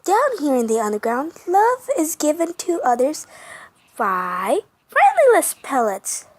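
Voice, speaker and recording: A woman's voice: high-pitched, wildly swooping pitch, unhurried, no echo, voice pushed up, exaggerated voice. A woman voicing a falsely cheerful flower creature in an amateur comic dub, with a chirpy, overly friendly manner. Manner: chirpy, overly friendly, mid-word restarts.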